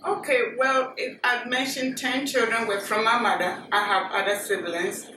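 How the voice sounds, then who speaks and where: A middle-aged woman from Ghana with a Ghanaian accent, recalling her childhood in a measured, plain way with frequent fillers. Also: on the high side, fairly even intonation, pushed and bright. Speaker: interviewee. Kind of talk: oral history interview. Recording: echoey room, distant mic, noisy.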